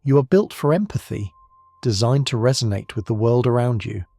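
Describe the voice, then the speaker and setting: Slow, calm man with gravelly fry. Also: medium pitch, no echo, clean studio sound. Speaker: sleep narrator. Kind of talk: soft-spoken bedtime narration.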